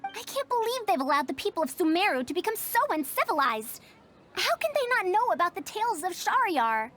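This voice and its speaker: A woman's voice: very high voice, very animated, fairly quick, a bit bright, heavily acted. A woman playing a haughty, old-fashioned scholar in an anime video game, speaking precisely and pedantically. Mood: indignant. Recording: game audio over clear background music.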